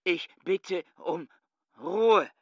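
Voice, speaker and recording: A man's voice: high voice, very slow, no room sound, highs cut off, full character voice. An actor playing a haunted, obsessive and fearful man in a radio drama. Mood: agitated. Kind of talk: German radio drama acting.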